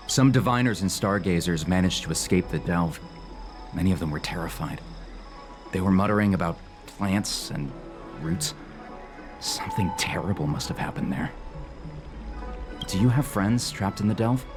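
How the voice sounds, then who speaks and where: A man's voice: deep voice, expressive, normal speed, long pauses, soft background music. A man playing a weary but helpful guard in a game, talking matter-of-factly. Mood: grave. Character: weary male guard on a long shift, helpful. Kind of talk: English game voice acting.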